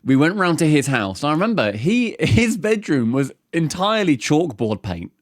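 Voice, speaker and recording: A man's voice: fairly high pitch, very animated, normal speed, no room sound, clean studio sound. A man co-hosting a podcast, telling a rambling anecdote with lots of ums and laughing asides. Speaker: podcast co-host. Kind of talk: casual storytelling chat.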